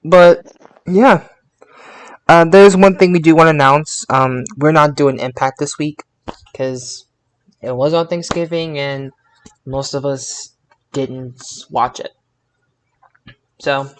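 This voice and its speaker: A man hosting a casual wrestling podcast, speaking haltingly and repeating words as he searches for phrasing. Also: a little high, smooth. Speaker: podcast host.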